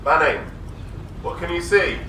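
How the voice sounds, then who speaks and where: Animated, insistent workman, played by a man. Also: a little high, measured pace, slight background noise, rough recording. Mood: commanding. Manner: urgent, insistent. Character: determined male workman taking charge in an emergency. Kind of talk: audio drama acting.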